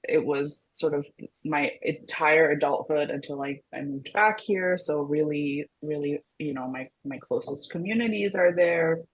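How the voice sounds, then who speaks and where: A woman in her thirties from Singapore with an American-sounding international school accent, talking in a thoughtful, halting way full of fillers. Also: low voice, slightly rough, rough recording. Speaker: mental health counselor co-hosting a discussion. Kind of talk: casual reflective conversation.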